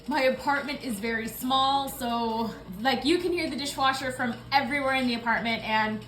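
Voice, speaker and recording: A woman in her early thirties with a loud voice, chatting in a rambling, apologetic way in her small apartment. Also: sits high, normal speed. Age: early 30s. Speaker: budgeting YouTuber. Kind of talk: casual chat. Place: small apartment, dishwasher running. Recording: echoey room with appliance noise. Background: dishwasher running.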